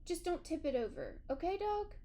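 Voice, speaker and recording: A woman's voice: very high-pitched, big pitch swings, moderate pace, mellow, a little hiss or hum, voice pushed up, full character voice. A woman voicing a big, old, motherly female dog with a folksy, coaxing manner in a children's story. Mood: gently fussing. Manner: folksy, addresses others with pet names. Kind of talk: children's audiobook character voice.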